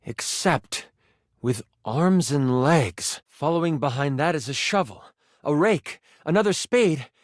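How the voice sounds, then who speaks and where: An actor playing a theatrical game master, narrating a fantasy adventure in a dramatic, suspenseful way. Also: male voice, on the high side, expressive, a little slow, no room sound, clean studio sound. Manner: dramatic, suspenseful second-person storytelling.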